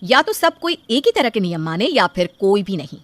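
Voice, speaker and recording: Woman acting outspoken modern mother, very lively. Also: mid-range voice, moderate pace, no room sound. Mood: indignant scolding. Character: outspoken, modern middle-aged mother of a married daughter. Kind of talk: animated cartoon story acting.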